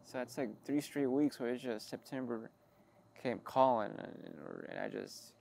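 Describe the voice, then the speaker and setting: A man giving a rambling, wry personal monologue, halting and often interrupting himself as he talks. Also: medium pitch, gravelly, a little mellow. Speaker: creator of an audio log channel.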